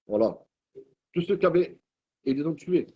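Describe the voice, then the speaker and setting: Husky man ranting. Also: a little high, lively intonation, normal speed, muffled recording. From Marseille, France. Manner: rambling, heated, repeats and restarts words. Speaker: online commentator. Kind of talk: ranting video message.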